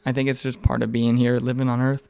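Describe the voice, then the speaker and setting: Clear-voiced young man, candid podcast talk. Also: mid-range voice, monotone, quick pace, mellow. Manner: informal, profane, rambling and earnest. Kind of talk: casual, candid podcast conversation.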